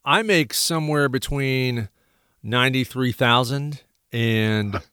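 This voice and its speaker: A man's voice: medium pitch, slow, a bit bright, clear, resonant tone, no echo, studio quality. A man hosting a public affairs radio talk show, chatting casually with a stuffy nose and many fillers and restarts. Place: radio studio.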